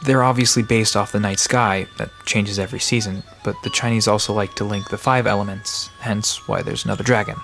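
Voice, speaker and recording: A man's voice: lowish voice, fairly even intonation, a little fast, vocal fry, few pauses, a bit bright, soft background music. A man playing an aloof park caretaker in an audio drama, explaining things in a dry, matter-of-fact, guarded way. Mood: dry, explanatory.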